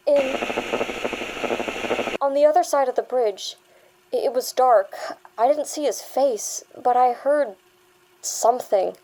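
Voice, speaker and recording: A woman's voice: high-pitched, slow pace, pauses often, dark tone, rough recording. An actress playing an anxious young British woman in a naturalistic audio drama. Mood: shaken, pleading. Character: anxious young woman doubting her own sanity.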